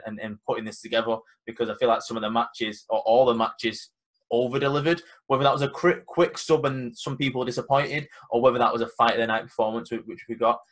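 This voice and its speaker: A man's voice: mid-range voice, a bit bright. A young man hosting a casual podcast chat. He is confident and emphatic, and he acts out the conversations he retells. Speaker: podcast host and event organizer.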